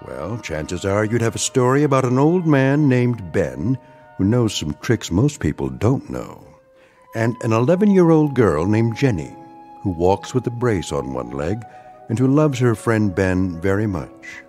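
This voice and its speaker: A lower-pitched male narrator introducing a radio play, his voice rising and falling expressively. He speaks in a measured, teasing storyteller's way over faint music. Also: very animated, darkish tone. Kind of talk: scripted narration.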